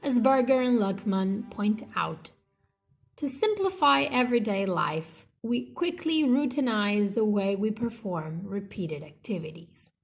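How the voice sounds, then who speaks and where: A woman reading an academic textbook aloud as scripted narration, with measured, deliberate phrasing in short segments. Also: medium pitch, lively intonation, creaky, faint music behind.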